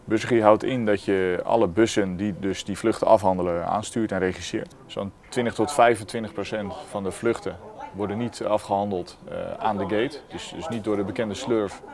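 A man's voice: lowish voice, steady tone, a little fast, crowd noise. A man in his thirties, an airport controller explaining his tasks in an orderly way. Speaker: apron controller, bus coordinator. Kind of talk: documentary interview about work. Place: glass-walled airport control tower office.

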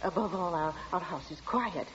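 A woman's voice: lowish voice, moderate pace, fairly bright tone, lightly acted. An actress in a 1940s radio drama playing an anxious, deferential landlady with a polite, stammering manner. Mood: flustered, eager. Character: flustered, deferential married landlady eager to please. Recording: muffled, hissy 1940 radio recording.

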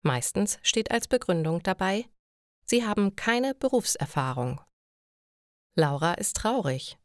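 A woman reads a scripted story for language learners, enunciating clearly in a measured way. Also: medium pitch, lively intonation, dry recording, clean studio sound. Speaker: narrator of German listening lessons.

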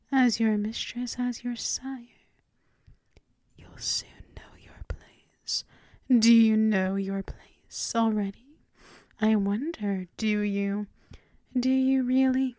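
Woman playing a dominant immortal vampire mistress, ASMR roleplay, teasing, breathy whisper, fairly high pitch, dry close mic. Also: no echo. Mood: teasing, coaxing. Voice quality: breathy whisper mixed with soft voiced speech. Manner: slow, lingering, repeats phrases, teasing endearments. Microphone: close microphone.

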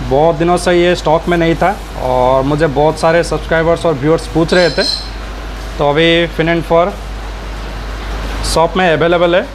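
A man talks viewers through a pet shop in a slightly raised voice, easy and chatty as he lists what is on the shelves. The sound comes from the camera as he walks indoors. Clear shop noise and an air conditioner hum sit behind him. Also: fairly high pitch, steady tone, moderate pace. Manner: chatty, pointing out items and prices to viewers. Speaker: aquarium hobbyist vlogger. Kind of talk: casual shop tour narration.

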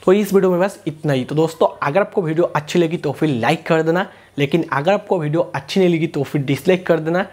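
A man's voice: on the high side, a little mellow. A man, hosting a tech tutorial and explaining step by step in a repetitive way. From India. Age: 20s. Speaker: YouTube tech and gaming tutorial host. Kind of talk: scripted tutorial to camera. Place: small indoor room. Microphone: lapel mic.

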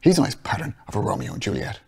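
A man doing a sneering gruff pub patriot. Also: fairly low pitch, wildly swooping pitch, racing, exaggerated voice. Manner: sneering, dismissive.

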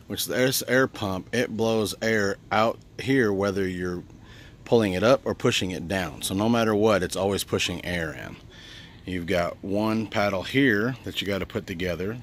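A man's voice: deep voice, slight background noise. A man talking informally and thinking aloud as he gives a casual hands-on product review outdoors on a handheld camera. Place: outdoors on sandy ground at a campsite. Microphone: handheld camera held by the speaker.

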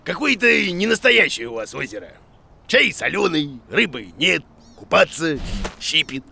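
A grumpy, bumbling cartoon creature who fancies himself a teacher. The voice is highish and gravelly, swinging widely as it blusters and mutters. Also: male voice, sing-song, measured pace, sharp tone, a little hiss or hum, heavily acted. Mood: grumpy, indignant. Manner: blustery, hesitant muttering and exclamations. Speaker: main character of a children's educational cartoon. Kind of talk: animated cartoon acting.

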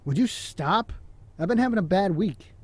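An actor playing a despondent, guilt-ridden drinker and father in an audio drama, talking in a halting, downcast way. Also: high voice, lively intonation, darkish tone, no echo. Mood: irritated.

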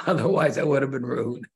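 An older man, a rabbi, giving an unhurried online Torah class, pausing often with fillers and restarts, speaking on a video call from a room by large windows. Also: mid-range voice, gravelly, husky. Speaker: rabbi teaching converts.

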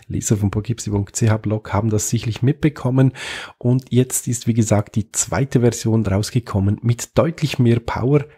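A man's voice: medium pitch, warm tone, slightly rough. A man hosting an informal solo tech podcast in a rambling, conversational way, with a nasal, stuffed-up voice from a blocked nose. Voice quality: nasal, congested. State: blocked nose from pollen allergy, lingering cough. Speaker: podcast host.

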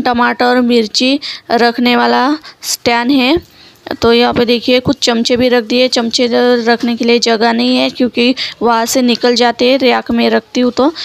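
High-voiced woman, gravelly edge. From India. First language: Marathi. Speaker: home vlogger. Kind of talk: casual home tour narration. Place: small one-room home, indoors. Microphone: handheld phone.

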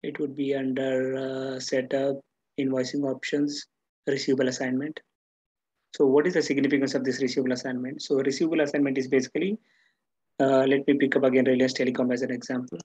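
A man giving an unscripted step-by-step software tutorial, haltingly, often repeating and restarting his phrases. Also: mid-range voice, flat delivery, slightly rough. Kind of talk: screen-share tutorial, unscripted step-by-step explanation.

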